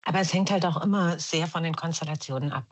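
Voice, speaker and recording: A woman's voice: deep voice, fast, husky. A woman with a German accent, a podcast guest speaking reflectively with many restarts and fillers. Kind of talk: reflective conversational podcast talk.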